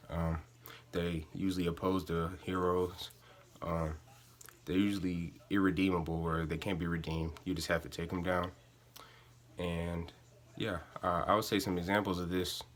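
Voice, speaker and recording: A man's voice: low voice, a bit bright. A young man giving an informative student speech in an informal, hesitant way. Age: young adult. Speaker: student speaker.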